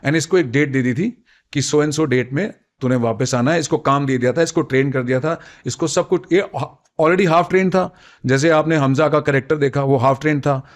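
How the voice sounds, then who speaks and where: A man's voice: a little high, moderate pace. A middle-aged man with an Indian accent tells army stories conversationally as a retired officer, often switching between two languages mid-sentence. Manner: code-switches between Hindi and English. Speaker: retired army colonel, interview guest. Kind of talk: conversational storytelling, recounting army memories.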